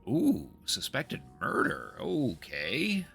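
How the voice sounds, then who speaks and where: A man's voice: fairly low pitch, wildly swooping pitch, extremely slow, gravelly, a bit bright, soft background music. A man casually commenting on a video game as he streams it, sometimes reading its dialogue out.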